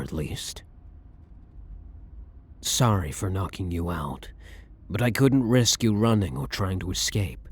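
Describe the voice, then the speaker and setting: A man's voice: sits low, darkish tone, husky, heavily acted. A man playing a blunt, guilt-ridden vampire hunter who is secretly a vampire, in an intimate ASMR audio roleplay monologue.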